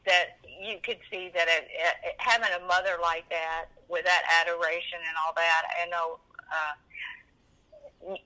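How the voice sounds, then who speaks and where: A woman in her seventies reminiscing as an interview guest, animated and emphatic, often rambling and repeating herself. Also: fairly low pitch, poor audio. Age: 70s.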